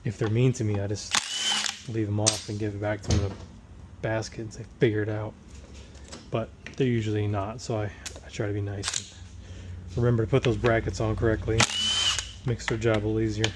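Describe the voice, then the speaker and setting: Hoarse guy in a noisy shop, chatting plainly while he wrenches. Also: lowish voice, level tone, brisk. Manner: plain-spoken, working while talking. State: hoarse, recovering from tonsillitis. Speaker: transmission rebuilder. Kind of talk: casual shop walkthrough.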